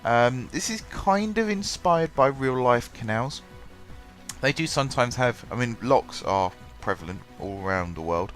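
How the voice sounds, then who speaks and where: A man's voice: medium pitch, lively intonation, unhurried, a bit bright. Congested man with a cold. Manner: rambling, many ums and restarts. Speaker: gaming channel host. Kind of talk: casual gameplay commentary. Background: faint background music.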